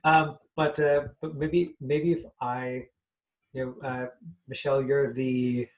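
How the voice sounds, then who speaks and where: A man hosting an online class, talking casually through a live demonstration in a hesitant, halting way, repeating himself. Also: medium pitch, level tone, low-quality recording. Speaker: class host and acting coach.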